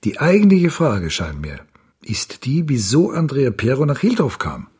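Haunted, very husky-voiced suspect. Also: male voice, medium pitch, huge pitch swings, a little slow, a little mellow, heavily acted. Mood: wry, defiant. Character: stubborn, haunted man searching for his lost daughter. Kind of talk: radio crime drama acting.

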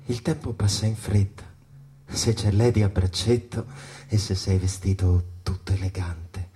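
An actor playing a worn, melancholy jazz musician in a stage drama, brooding on time in hushed fragments. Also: male voice, low-pitched. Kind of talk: slow theatrical monologue.

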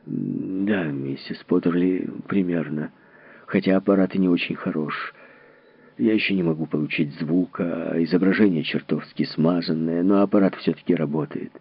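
A man's voice: sits low, moderate pace, husky, no room sound. A man voicing a blunt young physicist explaining his machine's limits. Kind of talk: audiobook reading. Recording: dull lo-fi recording.

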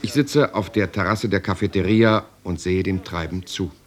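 An actor playing a reflective, solitary European writer, narrating his life in a measured, lyrical radio drama style. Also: deep voice, lightly acted. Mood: contemplative. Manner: measured, lyrical, contemplative delivery. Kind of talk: literary first-person radio drama narration.

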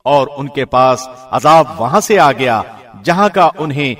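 A man reading a scripture translation formally, with measured, solemn and carefully phrased delivery. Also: medium pitch, animated, fairly bright tone. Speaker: professional radio host reading translation.

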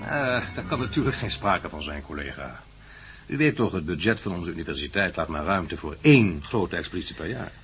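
An actor playing a bureaucratic, patronising university rector in a radio play, formal and unyielding. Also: male voice, low-pitched, animated, a little fast, music in the background. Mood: condescending. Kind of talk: radio drama acting. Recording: slightly noisy radio play recording.

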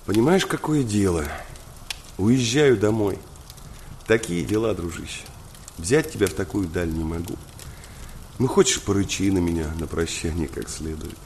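Young engineer in radio play, low voice, emphatic, troubled, faint vehicle noise behind. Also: male voice, lively intonation, moderate pace, pauses often, darkish tone. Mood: sad, subdued. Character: young engineer, troubled, fond master of a wolf. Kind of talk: Russian audio drama acting.

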